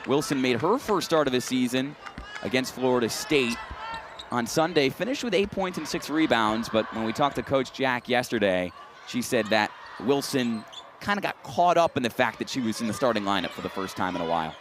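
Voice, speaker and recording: A man's voice: medium pitch, lively intonation, fairly quick, background noise. A man calling live sports play-by-play in an announcer's delivery that rises on big plays.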